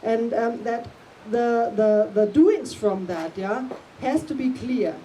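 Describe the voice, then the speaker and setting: A middle-aged woman with a German accent speaks as a panel guest, her voice a little high and mellow. She hunts for English words with plenty of ums. She sounds set back from the mic, with a faint hum under the room. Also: takes it slowly, far from the mic, rough recording. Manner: searching for words, frequent ums. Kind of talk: casual panel talk.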